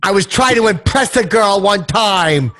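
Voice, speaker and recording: A man's voice: high voice, expressive, a little slow, bright, forceful tone, heavily acted. A man voicing a crude, boastful comic oddball podcast host, talking in a mock-earnest, rambling way. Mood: rueful boasting. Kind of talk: comedy banter with sing-song bits.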